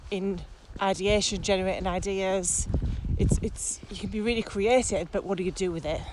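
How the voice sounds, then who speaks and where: A woman's voice: mid-range voice, normal speed. Playful woman chatting on a walk. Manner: lively, questioning, playful. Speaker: podcast co-host. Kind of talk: casual walking chat. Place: open grassy field outdoors. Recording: outdoor noise, birds, wind.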